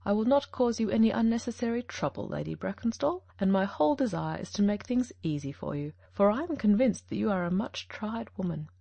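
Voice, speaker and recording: A woman reading an audiobook, voicing a shrewd male detective who speaks in a measured, gently firm way. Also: medium pitch, expressive, creaky, dry recording, muffled recording.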